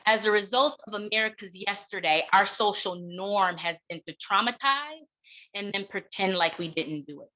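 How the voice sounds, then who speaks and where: A woman speaks as a keynote presenter at a middle pitch, with a bright, forceful tone. She is deliberate and emphatic, setting key words apart with dramatic pauses. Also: low-quality recording. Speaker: keynote speaker, counseling clinician. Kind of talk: keynote speech.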